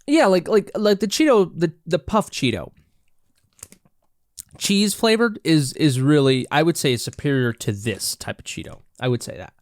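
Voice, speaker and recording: A man's voice: fairly high pitch, animated, normal speed, no room sound. A congested man hosting a podcast, chatting casually and rambling with many restarts while he snacks. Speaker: podcast host. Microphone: own camera and mic, close and dry.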